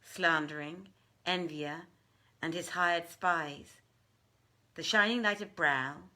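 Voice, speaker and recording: A woman reading a classic tale aloud as a dramatic, deliberate and theatrical storyteller. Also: fairly low pitch, bright, forceful tone. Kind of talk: dramatic literary narration.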